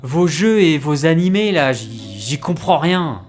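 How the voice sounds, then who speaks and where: A man playing a naive, excitable time-traveling new recruit in a French audio comedy, with a flustered, stammering delivery. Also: on the high side, unhurried, soft background music. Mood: doubtful.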